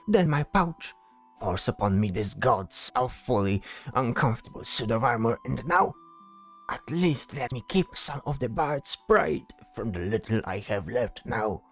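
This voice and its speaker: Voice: mid-range voice, lively intonation, a little slow, faint music behind. An actor playing a proud, whiny male bard in a fantasy audio drama, complaining with theatrical indignation. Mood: indignant, dramatic.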